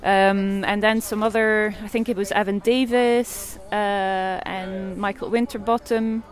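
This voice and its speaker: Flat-voiced Belgian-born woman photographer. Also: mid-range voice, unhurried. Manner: hesitant, many fillers and restarts. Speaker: artist and photographer. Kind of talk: casual interview answers.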